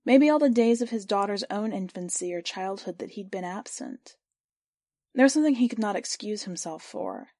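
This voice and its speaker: A woman reading a first-person literary short story aloud for a podcast, in a measured, clear storytelling voice. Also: medium pitch, sing-song, mellow, no room sound, studio quality. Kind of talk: scripted narration of literary fiction.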